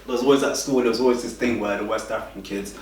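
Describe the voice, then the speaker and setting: A man's voice: medium pitch, fairly even intonation, racing, slight background noise. A man from South Sudan talking assertively on a casual podcast panel. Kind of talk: casual group chat. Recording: echoey room from a distance.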